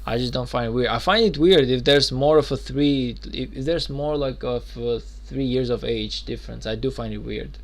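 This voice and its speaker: Young man, mid-pitched voice, rambling stream chatter, lots of fillers. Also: normal speed, few pauses. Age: 20s. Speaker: game streamer. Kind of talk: casual live-stream chat.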